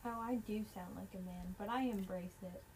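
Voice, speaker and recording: A woman co-hosting a casual podcast, teasing her friend and tossing out quick jokey comebacks. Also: mid-range voice, a little mellow, slight background noise, poor audio. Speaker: podcast co-host. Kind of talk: casual chat.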